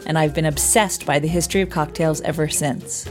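An American woman hosts the show in a polished, scripted delivery. Her voice sits a little low, and soft music plays faintly behind her. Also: fairly even intonation, brisk. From United States. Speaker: podcast host. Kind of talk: scripted introduction and interview questions.

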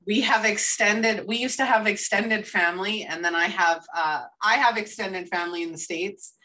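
Canadian woman co-host, eager and chatty, keeps restarting her thoughts, bright tone, sits a little off the mic. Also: medium pitch, moderate pace, far from the mic, poor audio. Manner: enthusiastic, rambling, frequent restarts. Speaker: podcast co-host. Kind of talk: casual podcast chat.

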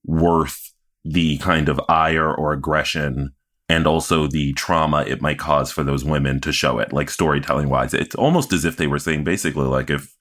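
A man with a low-pitched voice talks as a podcast co-host. He recaps television casually and conversationally, offering opinions with a wry touch. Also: steady tone, moderate pace, fairly bright tone.

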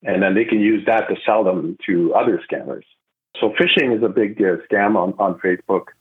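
Phone-like thin audio, low-voiced man, fifties, explanatory interview guest. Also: a little fast. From Montreal, Canada. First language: French. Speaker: guest expert, retired police officer. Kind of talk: conversational interview answers.

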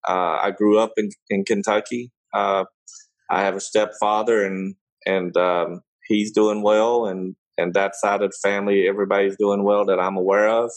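Hesitant low-voiced man. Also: level tone, moderate pace, poor audio. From Germany. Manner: hesitant, many fillers and restarts. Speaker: guest, small business owner. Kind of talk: casual interview answers.

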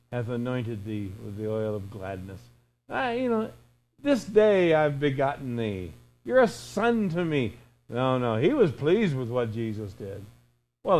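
A man's voice: mid-range voice, darkish tone. A man in his sixties preaching an informal, conversational sermon in a folksy way, with emphatic repetition and imagined dialogue. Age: 60s.

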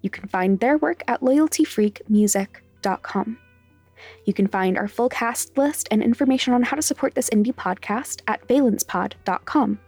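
A woman's voice: fairly high pitch, normal speed, a little mellow, no room sound. A woman reading scripted end credits as the creator of a podcast. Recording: dry studio voice over music. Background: faint music.

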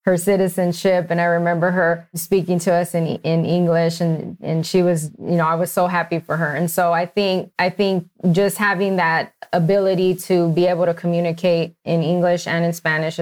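A woman from Texas, a physician guest, answering interview questions in a measured, earnest way with small restarts. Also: fairly low pitch, little pitch movement, a little mellow.

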